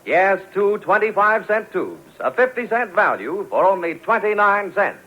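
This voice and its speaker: A man's voice: sits high, big pitch swings, moderate pace. A man reading a commercial as a 1940s radio announcer. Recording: muffled old radio broadcast.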